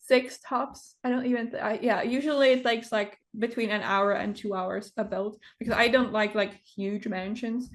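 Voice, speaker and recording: A woman's voice: fairly high pitch, steady tone. Interview guest: non-native woman, twenties, hesitant delivery with frequent fillers, video call. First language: not English. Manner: hesitant, many pauses and fillers. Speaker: interview guest, game builder. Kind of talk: casual conversation.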